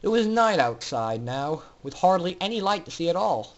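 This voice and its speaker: A man's voice: high-pitched, big pitch swings, moderate pace. A man reading a story aloud as narrator in a theatrical, dramatic style. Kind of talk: dramatic scripted fan fiction narration.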